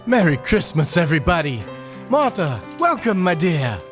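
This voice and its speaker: A man playing a gentle, kindly, earnest father in a radio play. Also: a little high, very animated, moderate pace, music in the background. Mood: fond.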